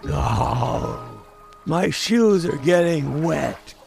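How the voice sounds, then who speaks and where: A man's voice: medium pitch, lively intonation, drawn-out words, creaky, darkish tone, faint music behind, full character voice. An actor playing a fussy, reluctant agent and unwilling sidekick in a radio mystery, whining and dithering as he goes. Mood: flustered, grumbling. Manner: whiny, grumbling, dithering. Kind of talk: old-time radio comedy-mystery acting.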